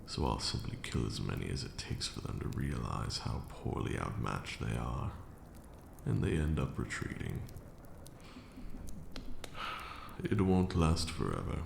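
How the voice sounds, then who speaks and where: Man playing an extremely deep, gravelly ancient vampire lord. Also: big pitch swings, heavily acted. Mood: calm, disdainful. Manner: measured, grandiose, archaic phrasing. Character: ancient male vampire lord, brooding, cruel yet now weary. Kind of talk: ASMR roleplay, slow dramatic monologue. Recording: roomy echo, audible background noise.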